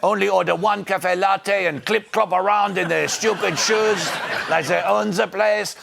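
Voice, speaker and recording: A man's voice: high voice, creaky, background noise, exaggerated voice. A man playing a lecherous, pompous French cycling pundit in a put-on French accent, full of innuendo. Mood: mocking. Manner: innuendo-laden, theatrical, drawled. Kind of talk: comedy sketch in character.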